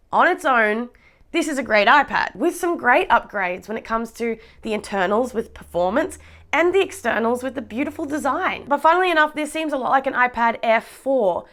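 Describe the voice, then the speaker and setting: An Australian woman with a slightly hoarse voice from a cold, chatting enthusiastically through a tech product review and laughing often. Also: on the high side, a bit bright. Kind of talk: casual product review vlog.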